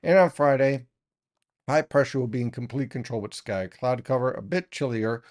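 A man's voice: mid-range voice, moderate pace. A man in his thirties giving a weather forecast from a small home studio, explaining steadily and talking straight to his viewers. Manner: steady explanatory delivery, addresses viewers directly.

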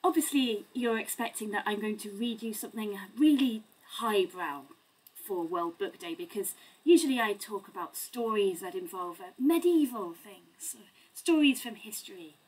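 A woman in her thirties reading a children's picture book aloud in a theatrical, expressive, deliberate way at home. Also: mid-range voice, husky, low-quality recording. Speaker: storyteller. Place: small home room lined with bookshelves.

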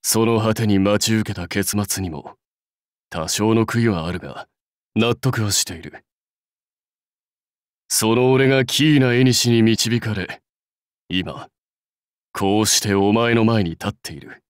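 An actor playing a proud, brusque warlord for a mobile game, curt, commanding and self-assured in manner. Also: male voice, fairly low pitch, slow, pauses often, no echo, clean studio sound. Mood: solemn, resolute. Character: proud, brusque warlord rider devoted to his clan. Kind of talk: Japanese mobile game character lines.

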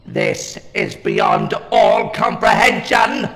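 Shrill, harsh robotic Dalek. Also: male voice, slow. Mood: fanatical. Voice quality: harsh, metallic, electronic. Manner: shouted, stilted, declamatory delivery. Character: stranded Dalek, fanatical, anguished alien war machine. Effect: robotic ring-modulated voice filter. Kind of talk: sci-fi audio drama acting. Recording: long reverb, music underneath.

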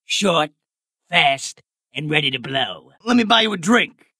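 A man voicing a brash, greedy, wisecracking male goblin in a video game, gravelly and sharp, like a fast-talking wise guy. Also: a little high, animated, dry recording, studio quality, heavily acted. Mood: sleazy, flirty. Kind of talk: video game character voice lines.

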